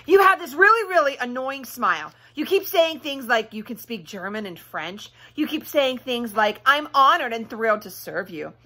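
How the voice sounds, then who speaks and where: A woman's voice: on the high side, lively intonation, normal speed, few pauses, pushed and bright. A woman voicing a bossy, fierce young girl from a children's storybook, demanding and indignant. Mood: accusing.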